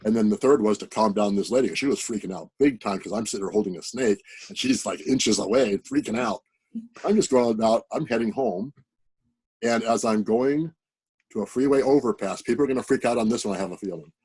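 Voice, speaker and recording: A man's voice: fairly low pitch, a little fast, darkish tone, husky. A man on a video call earnestly telling a personal spiritual story in a plain, direct way. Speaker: participant sharing a story.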